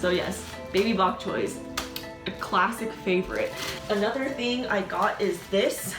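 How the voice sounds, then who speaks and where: A woman's voice: medium pitch, unhurried. A young woman chatting casually in a food haul vlog, rambling and filling her talk with "like". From San Francisco Bay Area. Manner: chatty and rambling, constant "like" fillers. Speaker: vlogger. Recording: roomy sound with background music.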